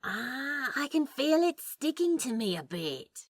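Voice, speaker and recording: Woman voicing a laid-back, slow-talking charmed guy. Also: sits high, sing-song, exaggerated voice. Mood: amused wonder. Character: laid-back, lopsided-grinning man, shyly charmed. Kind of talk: fan fiction audio drama.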